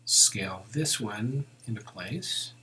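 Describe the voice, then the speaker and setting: A man giving an informal step-by-step software tutorial, thinking aloud as he works. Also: lowish voice, expressive, slow pace, slight background noise, low-quality recording.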